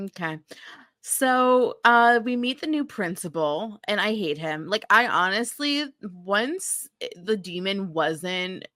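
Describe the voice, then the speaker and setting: A woman speaks in a bright, forward voice with a very dry, close sound. She sounds informal and opinionated, like a podcast host chatting through a TV recap. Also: medium pitch, lively intonation, slow, dry recording. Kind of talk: casual TV recap chat.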